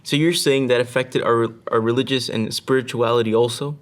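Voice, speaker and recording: A man in a relaxed podcast panel asks questions and keeps the conversation moving. His voice sits at an ordinary pitch and his tone is casual. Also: fairly quick. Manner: casual, prompting others. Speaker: podcast co-host.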